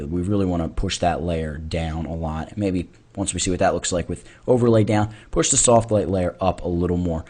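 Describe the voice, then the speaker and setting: A man narrating a software tutorial in an informal way, thinking aloud as he demonstrates. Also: low voice. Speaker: tutorial instructor.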